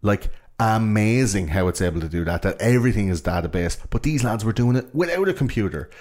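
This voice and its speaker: Irish man, low pitch, enthusiastic, rambling, sweary, casual explainer. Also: animated, a little fast, a little mellow.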